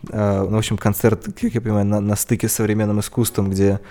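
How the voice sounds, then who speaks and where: A man's voice: fairly low pitch, little pitch movement, quick pace, mellow. A man co-hosting a podcast, musing aloud in casual chat. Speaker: podcast co-host.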